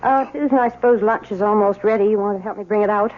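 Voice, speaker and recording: Actress playing a crotchety old aunt, tinny radio sound. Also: female voice, medium pitch, fast, natural voice. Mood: dry disapproval. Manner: curt, scolding. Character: crotchety, disapproving older aunt, stern and prying. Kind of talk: old-time radio drama acting.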